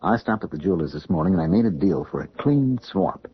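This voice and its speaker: A man's voice: deep voice, animated, quick pace. An actor playing an evasive young man in a radio drama, earnest and nervously explaining himself to placate others. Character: evasive, pleading young man named Corey, eager to placate. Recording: muffled old radio recording.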